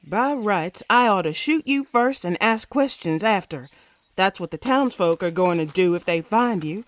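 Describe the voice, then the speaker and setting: Female Wild West sheriff, played by an actress: mid-pitched, earnest, fair-minded, firm. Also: lively intonation, unhurried, no echo, natural voice. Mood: conflicted, hurt. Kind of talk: comic-book Western radio drama.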